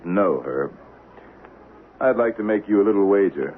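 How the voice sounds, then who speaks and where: An actor playing a cool, calculating man out for revenge in the Old West, speaking with deliberate, dryly taunting control in a 1970s radio drama. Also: lowish voice, expressive, natural voice. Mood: cold, controlled. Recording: narrow-band vintage radio recording with faint hiss.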